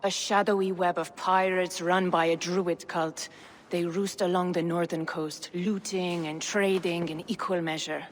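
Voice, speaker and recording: A woman portrays a shrewd merchant informant in a game cutscene. She is composed and formal, with a slightly low voice. Long reverb and faint noise surround it. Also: little pitch movement, a little slow, close to an everyday voice. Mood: knowing, composed. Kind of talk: video game cutscene acting.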